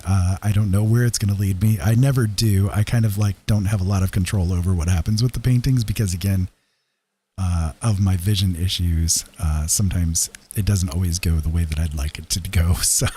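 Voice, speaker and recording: A man hosts a livestream in a low, dark, husky voice. He rambles casually and hesitantly, with a self-deprecating, laid-back delivery. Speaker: livestream host. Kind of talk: casual rambling chat to viewers.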